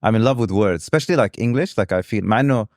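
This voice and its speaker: A man's voice: lowish voice, animated, quick pace, darkish tone, no room sound, studio quality. A man whose native language is Arabic, chatting casually and reflectively as a modest podcast guest. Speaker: podcast guest, venture capitalist. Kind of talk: casual conversational interview.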